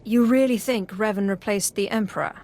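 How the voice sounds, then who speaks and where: An actress playing a self-possessed young Sith apprentice in a video game, cool, composed and curt. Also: female voice, mid-range voice, brisk, a little mellow, natural voice. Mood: dutiful.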